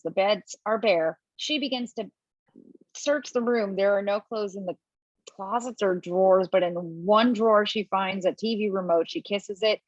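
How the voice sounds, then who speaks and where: A woman narrating stage directions at a table read from home, lively and expressive, with small slips. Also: medium pitch, no echo. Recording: dull lo-fi, dry.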